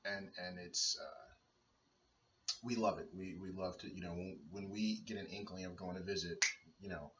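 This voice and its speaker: A man's voice: fairly even intonation, normal speed, heavy background noise. A man in his thirties, a father, chatting casually in a home video blog, hesitant and rambling with many fillers and restarts. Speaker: vlogger and father. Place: dim room at home. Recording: roomy, distant, dull low-fi sound.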